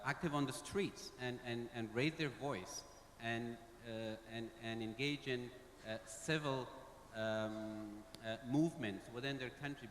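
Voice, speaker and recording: A man giving impassioned remarks as a conference panelist, halting and repeating words as he searches for them. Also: mid-range voice, fairly bright tone. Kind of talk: impassioned public speaking at a conference. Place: large conference hall. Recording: echoey, noisy conference hall.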